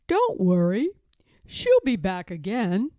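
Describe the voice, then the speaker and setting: A woman voicing a kindly old grandfather bear from a storybook, a retired sea captain who speaks with reassuring, drawn-out emphasis. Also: medium pitch, wildly swooping pitch, mellow, no room sound, lo-fi sound. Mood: reassuring, achy.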